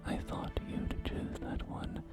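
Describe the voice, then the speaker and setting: A man playing a polite, salesy post-apocalyptic real estate agent in a whispered, breathy ASMR roleplay, with courteous, hesitant pauses. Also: lightly acted. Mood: courteous, pleased. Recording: soft game music behind. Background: music and game audio.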